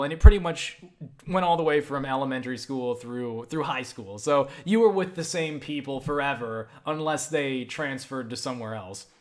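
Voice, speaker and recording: Young man, casual vlog chat, rambling, swears a lot, mid-pitched voice. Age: young adult. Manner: rambling, profane, animated with frequent restarts. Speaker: video creator answering viewer questions.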